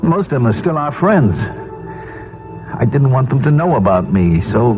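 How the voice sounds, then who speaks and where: A man's voice: mid-range voice, big pitch swings, moderate pace, lightly acted. An actor playing an ashamed, desperate middle-aged family man out of work, confessing his troubles in a 1970s radio drama. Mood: bitter, ashamed. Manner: confessional, tense narration and dialogue. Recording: tinny 1970s radio broadcast, music behind.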